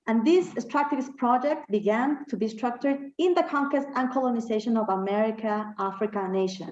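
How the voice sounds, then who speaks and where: A woman from Ecuador, a health activist, giving a recorded statement and often pausing with fillers as she searches for words. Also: fairly high pitch, a little mellow. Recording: echoey, dull lo-fi room recording.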